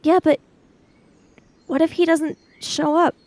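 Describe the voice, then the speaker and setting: A woman's voice: high-pitched, flat delivery, takes it slowly, darkish tone, dry recording. A woman improvising in a casual tabletop role-playing game, switching between speaking as her character and narrating, sometimes hesitantly.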